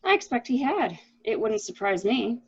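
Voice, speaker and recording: A woman's voice: fairly high pitch, sing-song, moderate pace, slightly rough, full character voice. Casting: actress as anxious, genteel, protective married lady for mystery drama, swooping delivery, muffled sound. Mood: uneasy, resigned. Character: anxious, protective, genteel married woman hushing her companion. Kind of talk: dramatic reading of murder mystery. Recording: dull, muffled lo-fi audio.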